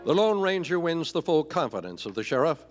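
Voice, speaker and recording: A man's voice: on the high side, very animated, fairly quick, loud music behind the voice. A man narrating a scripted live Western radio play in the style of an old-time serial. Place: theatre stage, orchestral music behind.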